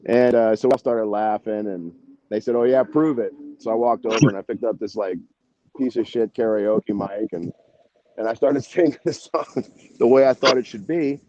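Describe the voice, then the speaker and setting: A man's voice: medium pitch, moderate pace, dark tone, no echo, highs cut off. A man talking casually as an interview guest, a singer telling stories in a rambling, slangy, salty way. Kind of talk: casual interview chat, anecdotes.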